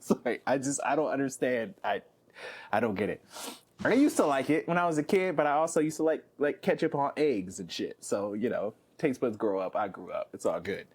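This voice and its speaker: A man's voice: medium pitch, brisk, slightly rough. A young man co-hosting a podcast, chatting casually, swearing freely and goofing around with frequent laughter. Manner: profane, goofy, laughs often. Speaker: podcast co-host.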